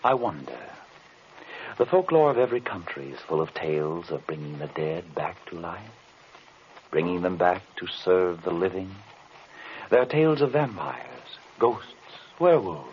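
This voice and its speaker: Through a muffled, faintly noisy vintage radio recording comes an enigmatic occult investigator. His delivery is formal and deliberate, with a swooping, animated pitch and brooding courtesy. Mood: ominous, musing. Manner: formal, deliberate, theatrical, rhetorical questions. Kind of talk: old-time radio drama acting.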